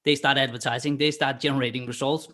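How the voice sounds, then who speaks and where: A man's voice: medium pitch, steady tone, fast, pushed and bright. Danish-accented man explaining marketing. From Denmark. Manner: explanatory, with frequent filler restarts. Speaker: guest, online marketer. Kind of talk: podcast interview.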